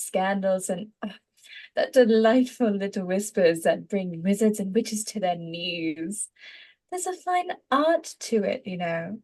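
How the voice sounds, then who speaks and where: Woman playing a sly, theatrical gossip witch with swooping pitch. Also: darkish tone. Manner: drawling, dramatic pauses, shifting tempo.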